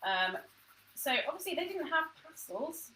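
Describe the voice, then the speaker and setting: A woman's voice: sits high, very animated, a little slow, sharp tone, a little hiss or hum, low-quality recording. A woman teaching a home-education history lesson to camera, chatty and self-deprecating, thinking aloud as she goes.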